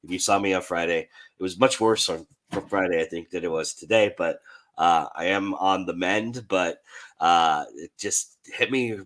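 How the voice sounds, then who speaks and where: A man hosting an online panel show, his voice hoarse with a little growl, talking in a rambling, self-deprecating way. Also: deep voice, moderate pace, a bit bright. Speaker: host.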